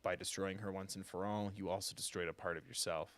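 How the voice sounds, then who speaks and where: A man reading a game's narration aloud as a solemn storyteller, measured and hushed. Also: deep voice, steady tone.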